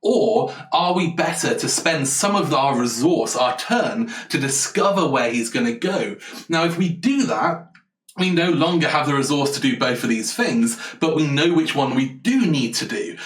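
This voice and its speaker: A man's voice: mid-range voice, a bit bright. Eager man, roomy and distant. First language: English. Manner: enthusiastic, rambling, answers chat. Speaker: game designer streaming live. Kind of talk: live-stream brainstorming, thinking aloud.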